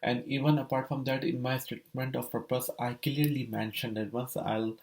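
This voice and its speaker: A man's voice: mid-range voice, level tone, normal speed, a little mellow. A young man, casually explaining his study permit process, rambling with many fillers and restarts. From Saudi Arabia. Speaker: vlogger sharing his study permit experience.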